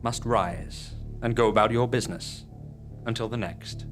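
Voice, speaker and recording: A man delivering scripted narration and show credits as host, in a measured, theatrical manner. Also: medium pitch, over music.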